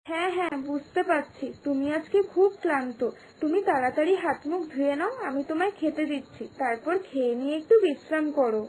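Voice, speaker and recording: A woman as a very high-pitched, earnest young wife. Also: a little fast, a little mellow, a little hiss or hum, natural voice, low-quality recording. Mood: caring. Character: confident, caring young Bengali daughter-in-law and wife. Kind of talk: moral-story cartoon voice acting.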